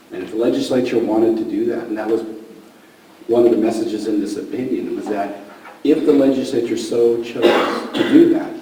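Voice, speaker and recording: A man who is losing his voice, explaining law at a public meeting with halting fillers. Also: fairly low pitch, mellow, slight background noise. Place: meeting room. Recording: echoey room from far off.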